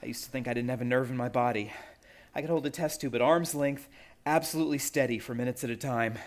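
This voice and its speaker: A man's voice: medium pitch, fast talker, close to an everyday voice. An actor playing a proud, nerve-racked young man in a suspense radio play, earnest and tense. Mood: anxious, fearful.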